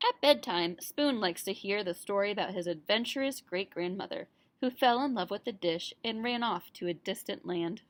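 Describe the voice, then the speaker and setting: A woman teacher reading a picture book aloud to young children in an expressive storytelling style. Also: medium pitch, normal speed, few pauses, dry recording. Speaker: teacher reading to kindergarten pupils.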